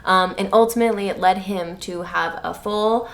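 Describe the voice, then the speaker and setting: A woman's voice: mid-range voice, fairly even intonation, moderate pace, vocal fry. A woman co-hosting a podcast, recapping a book in a jokey, slangy way and acting out lines as she goes. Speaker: podcast co-host. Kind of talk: comedic book recap chat.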